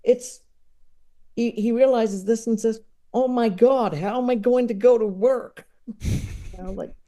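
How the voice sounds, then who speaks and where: A woman chatting casually as a podcast guest, enthusiastic and digressive, often halting as she works out her thought. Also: a little high, fairly even intonation, mellow. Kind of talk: casual chat about books and comedy.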